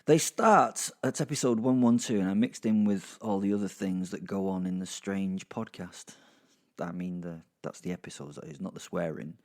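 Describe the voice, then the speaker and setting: Chatty, low-voiced man rambling to listeners. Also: moderate pace, darkish tone. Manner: chatty, self-interrupting, dryly jokey, sweary. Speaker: podcast host and narrator. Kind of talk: casual rambling recap to listeners. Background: music under the voice.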